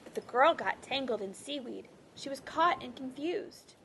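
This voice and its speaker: High-voiced woman reading a storybook, creaky edges. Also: expressive, normal speed, a bit bright, slight background noise, poor audio. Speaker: teacher reading a picture book aloud. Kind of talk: expressive children's storybook read-aloud.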